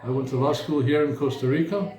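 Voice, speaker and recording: A man's voice: on the high side, mellow. Hesitant American man in an echoey room. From United States. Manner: slow, hesitant, many fillers. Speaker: attorney, interview guest. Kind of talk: casual interview answers.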